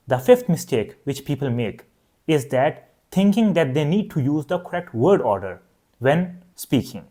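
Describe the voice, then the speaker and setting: Man, native Urdu speaker, young adult, online language teacher, clear steady explaining, slightly high voice, very lively intonation. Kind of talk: scripted online lesson.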